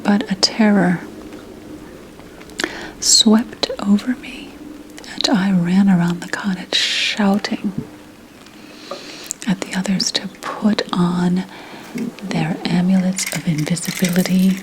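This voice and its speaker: A woman voicing a 17th-century witch who tells of her coven in a breathy ASMR whisper, hushed and deliberate. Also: on the low side, fairly even intonation, slight background noise, low-quality recording. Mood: ominous, hushed. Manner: slow, hushed, deliberate storytelling. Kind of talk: whispered ASMR roleplay storytelling.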